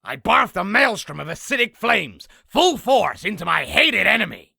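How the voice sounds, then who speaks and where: A man voicing a boastful raspy demon, telling an exaggerated battle story theatrically for a comic dub. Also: sits high, big pitch swings, normal speed, noisy background, heavily acted. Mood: gloating. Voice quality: raspy, gravelly. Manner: theatrical, self-aggrandizing. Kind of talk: comic dub character narration.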